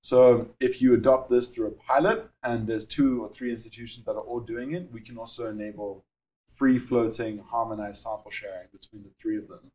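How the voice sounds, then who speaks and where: A young man with a South African accent, a startup founder explaining his work in a podcast interview, measured and full of fillers. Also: mid-range voice, steady tone, warm tone, low-quality recording.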